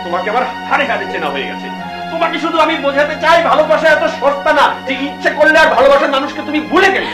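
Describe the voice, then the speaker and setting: High, fast, wronged young lover. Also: male voice, expressive. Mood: bitter, accusing. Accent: Bangladeshi. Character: wronged, accusing young lover holding a woman captive. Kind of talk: TV drama acting. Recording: echoey room with music behind.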